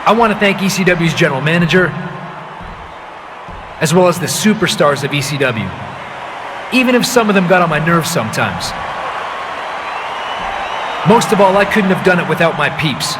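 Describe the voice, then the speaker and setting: A man plays a smug wrestler in a video game cutscene. He has a fairly high male voice with breezy, sarcastic confidence, and there is clear noise behind it. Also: lively intonation, moderate pace, pauses often, crowd noise, natural voice. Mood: proud, rallying. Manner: sarcastic, breezy, self-assured. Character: cocky, wisecracking male pro wrestler, smug crowd favourite.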